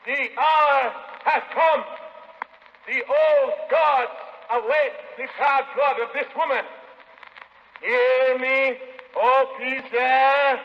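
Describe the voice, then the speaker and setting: A man playing an ageless, menacing voodoo high priest, pompous and incantatory in 1930s radio drama style. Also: fairly even intonation, gravelly, exaggerated voice. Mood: ritual menace. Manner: incantatory, drawn-out, pompous, speaking in third person. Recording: muffled 1930s radio broadcast, long reverb.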